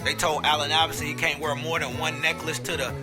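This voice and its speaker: Man, blunt impassioned podcast rant, swearing, slightly high voice, hip hop beat behind. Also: flat delivery, quick pace, over music. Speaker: podcast host. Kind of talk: opinion monologue.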